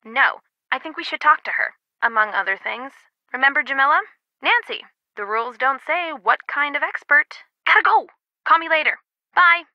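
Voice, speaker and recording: An actress playing a brisk, wry young woman, a loyal friend and teammate, in a casual video game delivery. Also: sits high, animated, no echo, highs cut off. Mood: thoughtful.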